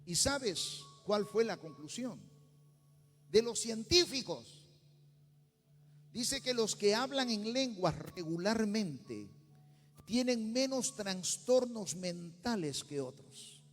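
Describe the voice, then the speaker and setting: A man's voice: high-pitched, lively intonation, takes it slowly, in a large hall, soft background music. A male pastor with a Peruvian Spanish accent, preaching a sermon with rising intensity and bursts of speaking in tongues. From Peru. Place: church sanctuary.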